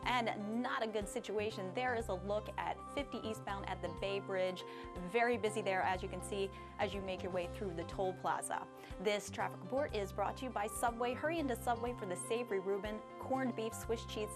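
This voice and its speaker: A woman's voice: medium pitch, a little fast. Female traffic reporter over a music bed. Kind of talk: live broadcast traffic report.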